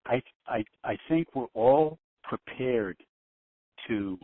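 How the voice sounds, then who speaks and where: A man giving informal commentary as a panelist on a telephone conference call, haltingly and repeating his phrases. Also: mid-range voice, lively intonation, dry recording. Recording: narrowband tinny phone line.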